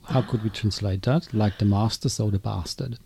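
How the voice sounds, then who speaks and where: A man's voice: on the low side, fairly even intonation, moderate pace, vocal fry, mellow, husky, dry recording. A man from Switzerland with a Swiss German accent, hosting a conversational podcast interview.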